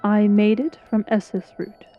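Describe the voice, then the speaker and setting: A woman's voice: medium pitch, steady tone, unhurried, close to an everyday voice. A woman playing a proud, defiant young apprentice sorceress in a dramatized fantasy story, cool and determined. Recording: dull microphone, music behind.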